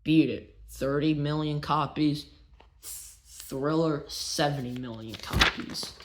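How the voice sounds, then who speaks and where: A man narrating a biography as a read-aloud, telling it simply and in measured phrases. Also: fairly high pitch, very noisy. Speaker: narrator and show host.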